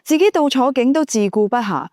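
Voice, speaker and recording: Woman reading as a wry, animated male warrior. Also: sits high, brisk, no echo, clean studio sound. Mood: guarded, casual. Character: wary, pragmatic male warrior traveler of ancient China.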